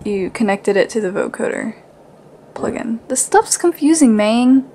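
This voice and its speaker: A woman's voice: on the high side, animated, unhurried, gravelly, mellow, a little hiss or hum. A woman vlogger talking casually, as if to viewers of her daily vlog.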